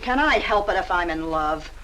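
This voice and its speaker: A woman's voice: lowish voice, expressive, moderate pace, a bit bright, a little hiss or hum, poor audio. An actress playing a brassy, scornful young American woman, with a slangy, drawling, mocking delivery in mid-century film melodrama style. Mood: lovestruck, dismissive.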